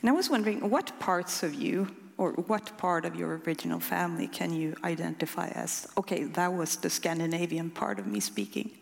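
A woman's voice: lowish voice, fairly quick, creaky, few pauses. A woman with a Swedish accent, moderating an onstage interview in a large concert hall and asking friendly, curious questions. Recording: long hall reverb.

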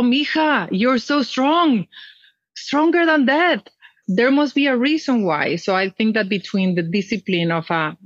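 A woman's voice: mid-range voice, no room sound, dull audio. A middle-aged woman from Mexico, telling her story earnestly and expressively as an interview guest. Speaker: guest, nonprofit founder. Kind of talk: conversational interview.

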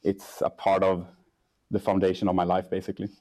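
A young man, a startup CEO, answering interview questions in a casual, halting way with many restarts and fillers. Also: low-pitched, monotone, warm tone. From Sweden.

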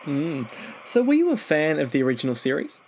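A man's voice: fairly high pitch, huge pitch swings, brisk, background noise. A man, hosting an interview and putting prepared questions to a guest. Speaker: interview host.

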